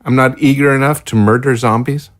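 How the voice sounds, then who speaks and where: A man's voice: mid-range voice, steady tone, a little fast, natural voice. A man acting in an audio drama as a principled, stubborn research scientist who sputters in formal, incredulous protest. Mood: outraged.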